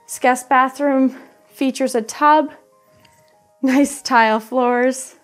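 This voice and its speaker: A woman in her thirties with a fairly high voice guides viewers through a show home as she walks from room to room. Her delivery is upbeat and presenter-like. Soft music plays faintly behind her. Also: fairly even intonation, slow pace. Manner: upbeat presenter, describing rooms while walking through. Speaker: house tour host. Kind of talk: friendly real estate walkthrough. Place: empty furnished show home indoors. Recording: faint background music under voice.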